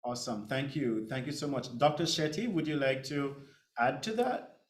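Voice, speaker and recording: A man's voice: mid-range voice, very animated, normal speed. Enthusiastic Jamaican man moderator, echoey. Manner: enthusiastic, hesitant questioning. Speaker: host. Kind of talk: moderating a panel.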